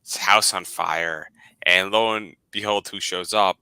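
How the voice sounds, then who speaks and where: Casual host in his twenties, low bright voice, clear and dry, rambling delivery. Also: steady tone, a little slow, no room sound, rough recording. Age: 20s. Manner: rambling, repeats words, laughs often. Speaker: podcast host. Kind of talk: casual chat.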